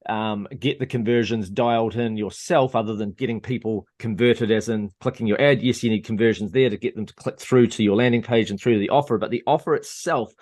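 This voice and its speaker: Male host, mid pitch; methodical explainer who lists points and checks in. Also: a little mellow. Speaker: interview host. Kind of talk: explaining in an interview.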